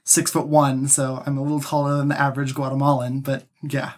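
A man's voice: mid-range voice, little pitch movement, fairly quick. Easygoing young man as podcast guest. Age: young adult. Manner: easygoing, frequent "yeah" and "mm-hmm". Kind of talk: casual interview chat.

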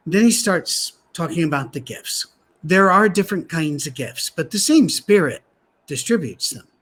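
A man teaching a Bible lesson in a soft voice, conversational and dryly humorous. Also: fairly high pitch, breathy edge. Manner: dry humor, conversational asides. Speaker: preacher. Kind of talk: sermon-style Bible teaching.

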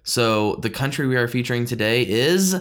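A man's voice: mid-range voice, lively intonation, normal speed, fairly bright tone. A man co-hosting a beer podcast, talking in a chatty, informal, enthusiastic way. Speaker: podcast co-host. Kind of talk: casual beer-tasting podcast chat.

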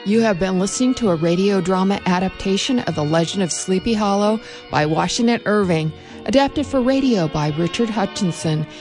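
A woman announcer reading the closing credits of a radio play in a brisk, even way. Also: medium pitch.

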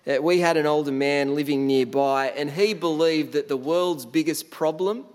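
A man delivers a sermon in a relaxed, conversational way, often restarting words and filling pauses. His voice sits a little high for a man. The sound carries a long reverb, as if in a large hall. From Australia. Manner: conversational, frequent fillers and restarts. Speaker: preacher.

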